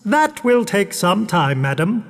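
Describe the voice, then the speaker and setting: A man's voice: very animated, unhurried, vocal fry, heavily acted. A man voicing a centuries-old, obsequious and slightly dim court magician in a storybook. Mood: deferential. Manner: obsequious, booming, chuckling. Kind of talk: storybook character voice. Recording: long hall-like reverb.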